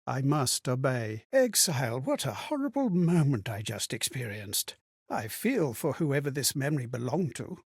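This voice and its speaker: A lost male spirit in a fantasy video game. The voice sits fairly high and is husky, recorded close and dry. He speaks with formal, theatrical care, earnest and melancholy. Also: no room sound, heavily acted. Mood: anxious, then numb. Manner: theatrical, measured, formal diction. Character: amnesiac male spirit reliving strangers' memories, earnest and melancholy. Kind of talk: dramatic scripted game monologue.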